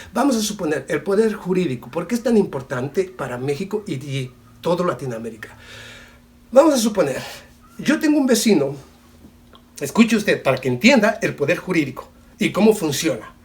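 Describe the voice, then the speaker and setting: Emphatic high-voiced Mexican commentator. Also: male voice. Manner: emphatic, repetitive, addresses the viewer directly; rhetorical questions and homely examples. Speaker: political commentator. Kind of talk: solo video commentary.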